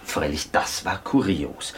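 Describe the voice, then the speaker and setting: A man's voice: a bass voice, expressive, takes it slowly, slight background noise, heavily acted. An actor playing a talkative, troubled Viennese man in a radio drama, colloquial, rambling and hesitant. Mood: chatty, gossiping. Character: talkative, troubled Viennese cousin of a stricken girl.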